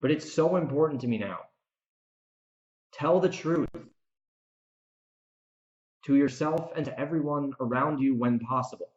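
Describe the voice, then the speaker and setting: A man's voice: mid-range voice, darkish tone. Emphatic man in a muffled, echoey room. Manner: emphatic, repeats words for emphasis, dramatic pauses. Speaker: podcast host and author. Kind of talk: unscripted opinionated podcast monologue.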